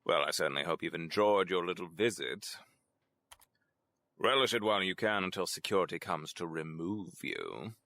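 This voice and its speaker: A man's voice: low-pitched, animated, moderate pace, pushed and bright, exaggerated voice. A man playing a sardonic, pompous older corporate executive in an audio drama, with theatrical delivery.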